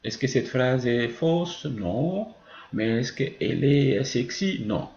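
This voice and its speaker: A man's voice: medium pitch, animated, takes it slowly, creaky, a little mellow. A man teaching a grammar lesson, explaining didactically with examples and answering his own questions. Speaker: French teacher. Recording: muffled, roomy, echoing sound.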